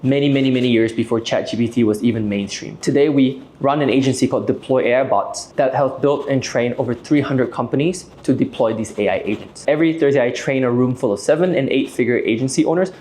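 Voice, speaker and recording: A man around thirty from Malaysia giving an explanatory business pitch to camera, direct and persuasive, often checking in with short tags. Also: fast talker, few pauses, darkish tone, a little hiss or hum. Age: late 20s to early 30s. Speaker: video host and AI agency owner.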